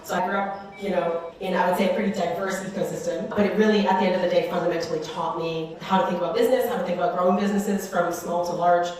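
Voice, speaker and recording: A woman's voice: fairly low pitch, steady tone, quick pace, a little mellow, heavy reverb, recorded from a distance. A woman in her thirties from Western Canada, talking casually as an interview guest with lots of fillers like "like" and "you know.". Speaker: guest, startup co-founder.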